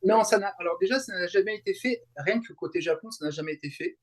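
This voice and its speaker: A man chatting casually as an interview guest, explaining in halting, repetitive phrases. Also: high voice. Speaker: guest, translator and YouTuber. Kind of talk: casual interview chat about a fan event.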